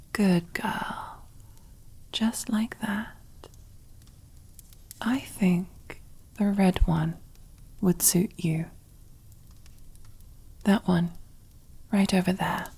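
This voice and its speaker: A commanding female crime boss, played by a woman, murmurs in a soft, mellow, very husky whisper for an ASMR roleplay. She takes her time over every phrase, pitched a little low. Her manner is possessive and condescending, with silky menace under teasing endearments. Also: heavily acted. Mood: seductive, commanding. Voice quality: breathy, husky near-whisper. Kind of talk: ASMR roleplay, close whispered character acting.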